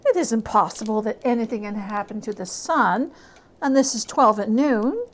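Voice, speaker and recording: A woman's voice: a little high, normal speed, lightly acted. A woman voicing a bewildered old male miser in a storybook reading, exclaiming in emphatic disbelief. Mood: astonished disbelief.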